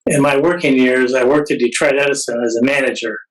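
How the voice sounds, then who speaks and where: A man in his eighties introducing himself slowly, with halting pauses. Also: mid-range voice, fairly even intonation. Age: 80s. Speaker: group participant. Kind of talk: self-introduction. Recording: voice distant from the mic.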